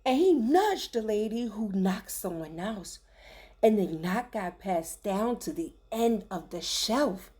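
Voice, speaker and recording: Casting: woman for children's read-aloud, theatrical and animated in pitch, extremely unhurried delivery. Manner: theatrical, deliberate phrasing. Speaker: storyteller, teacher reading aloud. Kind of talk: expressive rhyming children's story read-aloud.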